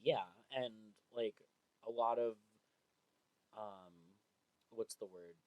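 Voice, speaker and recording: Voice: medium pitch, slow, no room sound, highs cut off. Twenties male co-host, chatty and giggly, dry close-miked studio sound. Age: 20s. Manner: chatty, laughs often, many fillers. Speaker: podcast co-host. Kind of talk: casual chat. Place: small studio room. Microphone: studio mic on stand.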